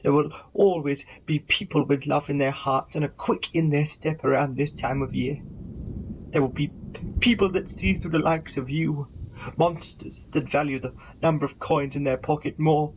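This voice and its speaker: An actor playing an earnest, big-hearted reformed old miser in a radio play, halting and emphatic. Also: male voice, fairly high pitch, steady tone, a little hiss or hum, lightly acted, poor audio. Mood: defiant.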